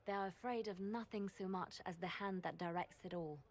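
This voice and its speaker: A woman playing a wistful, shrewd court diplomat in a video game, solemn, poetic and measured. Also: mid-range voice, natural voice. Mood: grave, gentle.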